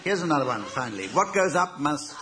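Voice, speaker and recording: A man's voice: fairly high pitch, brisk, a loud crowd. A man hosting a comedy panel game in a dry, mock-pompous way. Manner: deadpan, pompous. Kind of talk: dry mock-formal chairing. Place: studio in front of a live audience. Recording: live studio show with loud audience laughter and noise.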